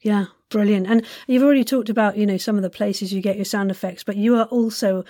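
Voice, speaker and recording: A woman hosting a casual interview, chatting enthusiastically and playfully, quick to laugh. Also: medium pitch, steady tone, dark tone, dry recording, studio quality. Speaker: podcast host, interviewer. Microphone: sensitive condenser microphone.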